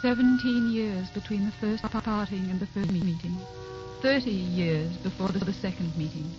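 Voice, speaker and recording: A woman playing the reflective narrator of a sentimental old-time radio drama, with a halting storytelling delivery. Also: medium pitch, close to an everyday voice. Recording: dull old radio recording, music behind.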